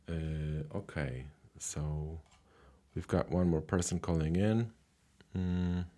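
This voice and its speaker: A man hosting a live language call-in stream, chatting casually with callers with lots of fillers and repeats. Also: low-pitched. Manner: relaxed, many fillers and repeated words.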